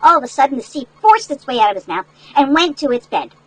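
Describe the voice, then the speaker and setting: High, lively older woman reading to children. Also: fast talker, rough recording. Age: older, great-grandmother. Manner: folksy, explains words to young children. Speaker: storyteller reading a picture book to children. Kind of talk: animated read-aloud with chatty asides. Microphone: headset.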